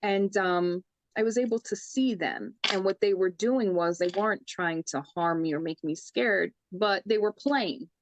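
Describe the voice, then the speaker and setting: A woman's voice: mid-range voice, a little slow, slight background noise, poor audio. A young woman from the Bronx with a New York accent, a psychic medium talking earnestly about her life in a casual interview. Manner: earnest, storytelling, says "I says" for past talk.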